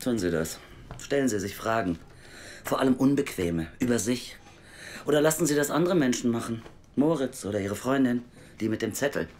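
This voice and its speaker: A weary, scornful female welfare official in an audio drama. Her voice sits very low for a woman. She lectures bluntly and sardonically, as someone who has heard every story before. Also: expressive, moderate pace, darkish tone, a little hiss or hum. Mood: cool, firm. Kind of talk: naturalistic audio drama acting.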